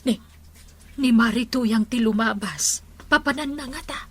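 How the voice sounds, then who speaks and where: High-pitched nosy village woman. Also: normal speed, a bit bright, slightly rough. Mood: gossipy. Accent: Ilocano. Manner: questioning, blunt. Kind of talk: radio comedy drama acting.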